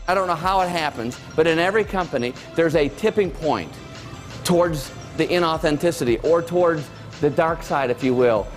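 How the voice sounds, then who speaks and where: Keynote speaker, late 40s, animated storyteller, slightly high voice. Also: male voice.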